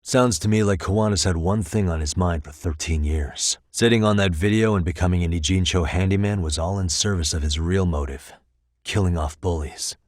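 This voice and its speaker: A man's voice: low voice, fairly quick, darkish tone, no room sound, studio quality, lightly acted. An American man voicing a composed, probing detective in a video game, tough and wry, with controlled, pointed delivery. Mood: serious, pressing. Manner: controlled, pointed, dryly sardonic questioning. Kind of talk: video game voice acting, dramatic interrogation dialogue.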